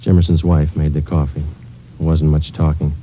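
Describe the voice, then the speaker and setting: An American man playing a stoic, businesslike police sergeant, narrating in a terse, deadpan 1950s radio drama style. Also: extremely low pitch, normal speed, soft background music. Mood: flat, grim. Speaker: lead actor and narrator. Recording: muffled old radio broadcast, musical score.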